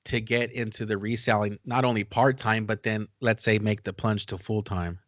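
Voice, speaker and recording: A man's voice: on the low side, steady tone, normal speed, dry recording. A man hosting a casual interview, chatting informally and asking questions. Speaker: interview host.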